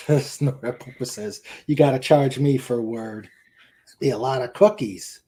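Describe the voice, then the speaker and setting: Slow, mellow man hosting a casual chat. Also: medium pitch. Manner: hesitant, rambling, repeats phrases. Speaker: show host. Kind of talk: casual live chat, reading viewer comments. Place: at home in a room with a couch.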